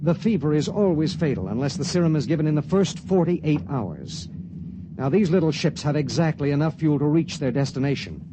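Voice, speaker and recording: An actor playing a grim, conscience-torn spaceship pilot in 1950s radio drama style. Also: male voice, on the high side, expressive, brisk, close to an everyday voice. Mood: grave, reluctant. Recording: noisy, dull 1950s radio recording.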